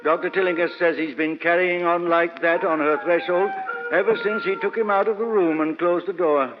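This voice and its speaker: An actor playing a mournful, deferential household servant in an old-time radio drama, plaintive in manner. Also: male voice, sits high, steady tone, normal speed, over music, natural voice. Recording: muffled reverberant old radio recording.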